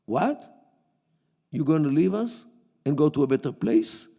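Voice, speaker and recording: A man whose first language is Yiddish lectures to an audience in a synagogue hall. He speaks haltingly, with frequent fillers and restarts, in a dark, gravelly voice pitched a little above most men's. A long reverb from the hall trails each phrase. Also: lively intonation. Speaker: guest lecturer. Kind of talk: public lecture.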